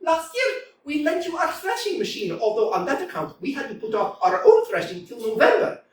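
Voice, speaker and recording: A woman reading a proud, stubborn young lady of the landed gentry, high-voiced and swinging wildly up and down in pitch. She argues emphatically in a staged reading, heard from a distance in a very echoey small theater. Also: sing-song, brisk. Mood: furious. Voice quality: emphatic, argumentative, sing-song outbursts. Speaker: community theater actor. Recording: echoey room, from far off, faint noise.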